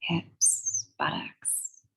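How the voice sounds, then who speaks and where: A woman leading a guided meditation in a soothing, measured, breathy way, leaving long pauses between phrases. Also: a bit bright. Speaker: guided meditation and yoga teacher.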